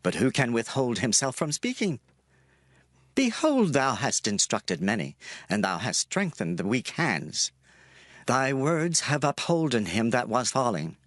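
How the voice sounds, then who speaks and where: An actor playing a grave, admonishing biblical counselor, speaking in an emphatic, oratorical manner. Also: male voice, mid-range voice, fairly bright tone, dry recording, studio quality. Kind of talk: dramatized scripture reading, oratorical. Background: faint music.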